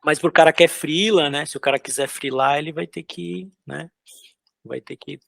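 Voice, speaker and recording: Gravelly-voiced Brazilian man, casual and halting. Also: fairly high pitch, fairly bright tone, no room sound, studio quality. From São Paulo, Brazil. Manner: loose, hesitant, lots of filler words and restarts. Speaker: concept artist guest. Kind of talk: casual interview while drawing.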